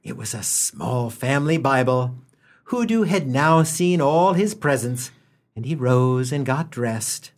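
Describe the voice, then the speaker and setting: A man's voice: medium pitch, animated, a little slow, lightly acted. A man playing a wry humorist narrator in a comic radio play, telling the story with mock solemnity and dramatic emphasis. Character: wry humorist narrator gently mocking a boy's gifts.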